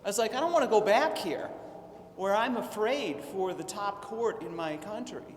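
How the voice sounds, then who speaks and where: An audience member asking questions at a town hall in a chatty manner. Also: male voice, sits high, expressive, moderate pace. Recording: echoey hall with faint noise.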